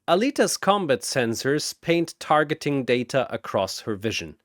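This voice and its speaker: A man narrating a fantasy battle in a bombastic, theatrical style with drawn-out emphasis, like an arena announcer. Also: medium pitch, very animated, no room sound, clean studio sound.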